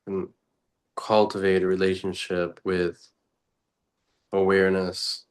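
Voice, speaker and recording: A man with a deep voice leads a guided meditation. He speaks very slowly and with little melodic movement, offering short reflective phrases separated by long pauses. The delivery is contemplative and unscripted, as a teacher would give it. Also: completely flat delivery, smooth.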